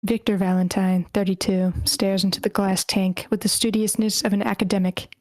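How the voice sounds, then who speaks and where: A woman narrating stage directions at a screenplay table read in a measured way, with the odd stumble over a word. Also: mid-range voice, flat delivery, darkish tone, no room sound. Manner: measured, slightly stumbling reading. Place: online meeting.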